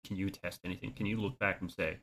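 A woman hosting a podcast interview who asks gentle questions and makes dry, sympathetic asides. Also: extremely low pitch, steady tone, fast talker, slight background noise. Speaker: podcast host. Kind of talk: conversational interview.

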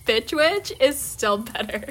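A woman's voice: fairly high pitch, very animated, slow, a bit bright, slight background noise, heavily acted. A woman playing a brash young werewolf in an audio drama, blunt, swaggering and sarcastic. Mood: cocky.

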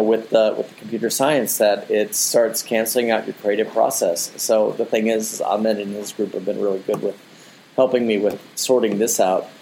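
A man's voice: lowish voice, darkish tone, slight background noise, low-quality recording. A man, a digital artist, speaking as an interview guest in a casual, rambling way, often repeating "you know" and "the thing is.".

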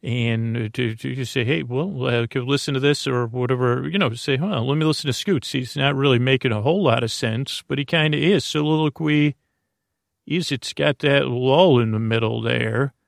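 Soft-spoken rambling man with gravelly tone. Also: medium pitch, normal speed, dry recording, studio quality. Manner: meandering, hesitant, full of fillers and restarts; soft, lulling delivery. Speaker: podcast host. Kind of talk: rambling bedtime podcast talk.

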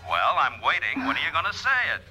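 A man's voice: mid-range voice, big pitch swings, fast talker, bright, forceful tone, poor audio. An actor playing an exuberant, teasing man in love, in 1950s radio drama style. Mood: delighted, teasing. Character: exuberant, teasing, lovestruck man on a long-distance call. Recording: old radio broadcast, faint music.

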